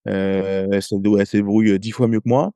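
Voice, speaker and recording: A French man in his thirties, a rugby player guest, telling stories in casual podcast talk, halting with many hesitations and restarts. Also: lowish voice, fairly even intonation, mellow, clear, resonant tone, no echo, studio quality. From France. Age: 30s. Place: home in Ireland.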